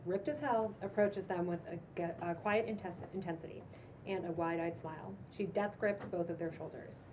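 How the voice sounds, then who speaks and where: A woman's voice: fairly low pitch, moderate pace. A woman reading stage directions as scripted narration at a casual table read. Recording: distant room mic, clear background noise.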